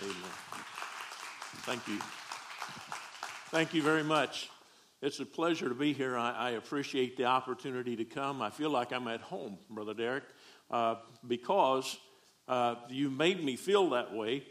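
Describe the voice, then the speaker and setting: A man's voice: medium pitch, unhurried, pauses often, fairly bright tone, a faint crowd. A middle-aged man from Texas with a Southern accent, preaching a folksy, joking sermon as a guest preacher.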